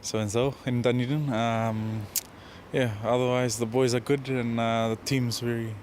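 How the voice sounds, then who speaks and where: A man in his twenties with a Fijian accent answers interview questions as a rugby player, in a casual, modest and halting way. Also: mid-range voice, little pitch movement, darkish tone, slight background noise. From Fiji. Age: 20s. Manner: hesitant, modest, halting delivery.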